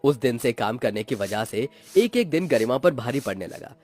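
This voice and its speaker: A man's voice: medium pitch, lively intonation, moderate pace, a little mellow, faint music behind. A man narrating a moral cartoon story in a scripted, melodramatic storytelling style.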